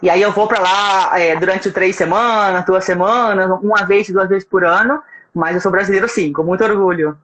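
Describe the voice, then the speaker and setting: A man's voice: sits high, fairly even intonation, moderate pace, a bit bright. A man from Espírito Santo, Brazil, with a slight Spanish tinge to his Brazilian accent, speaking as a language school representative in a casual interview. He is agreeable and often stops to restart his phrases. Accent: Brazilian Portuguese with a slight Spanish tinge. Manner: agreeable, with many hesitant restarts. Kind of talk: casual live-stream interview. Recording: faint background noise on a video call.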